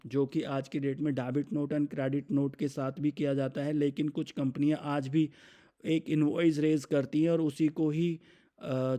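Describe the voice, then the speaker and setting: A man with an Indian accent narrates a software demo in a conversational, step-by-step way, often posing questions and answering them himself. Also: a little high. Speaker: software product demonstrator. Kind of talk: explanatory software demo narration, semi-scripted.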